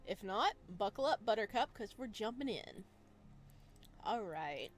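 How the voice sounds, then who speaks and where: Expressive woman hosting a playthrough. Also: medium pitch, unhurried, a little hiss or hum. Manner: playful, expressive reactions, addresses viewers. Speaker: let's play host. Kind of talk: visual novel playthrough, chatty commentary and read-aloud narration.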